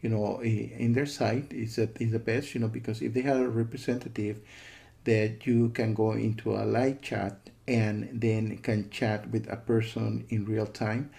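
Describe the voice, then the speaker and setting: A man's voice: fairly low pitch, level tone, normal speed, creaky, warm tone. A man giving a casual, disapproving spoken review, repeating himself and often checking in with "okay" and "you know.". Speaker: software reviewer.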